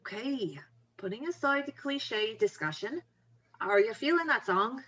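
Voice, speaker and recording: A woman's voice: fairly high pitch, expressive, measured pace, fairly bright tone, slightly rough, highs cut off, full character voice. A woman playing a blunt, confident, wry young friend in a screenplay table read, speaking frankly and coaxingly. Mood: skeptical, dry. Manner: frank, coaxing, plain-spoken.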